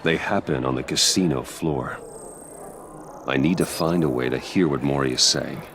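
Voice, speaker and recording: An actor playing a brooding vigilante billionaire in a video game, grave and measured. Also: male voice, rumbling low. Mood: guarded, focused. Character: brooding younger billionaire vigilante, guarded and resolute. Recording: clear background noise and ambience.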